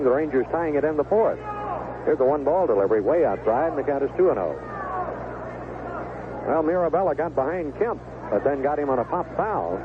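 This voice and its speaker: A man calling baseball play-by-play in a folksy, conversational 1970s radio style. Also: on the high side. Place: stadium broadcast booth. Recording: lo-fi, noisy vintage AM radio broadcast. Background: ballpark ambience and noise.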